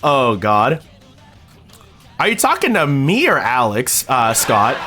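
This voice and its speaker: A young man streaming, talking casually to his chat, excitable and swearing freely. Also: mid-range voice, takes it slowly, faint music behind.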